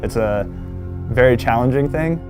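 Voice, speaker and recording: A man's voice: mid-range voice, normal speed. A young man, an air traffic controller, explaining his job plainly in a documentary interview. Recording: music bed behind voice.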